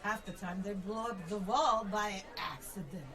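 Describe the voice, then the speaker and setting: A woman's voice: mid-range voice, normal speed, pushed and bright, natural voice. A woman playing a cool, haughty spellcaster adventurer in a comedy radio play. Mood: dry.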